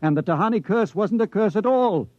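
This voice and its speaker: An actor playing a shaken, insistent doctor in a 1950s radio drama, speaking with urgent emphasis. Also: male voice, high-pitched, animated, fairly quick, no room sound. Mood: grave, insistent. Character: shaken, insistent doctor just rescued from shock. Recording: dull old radio recording.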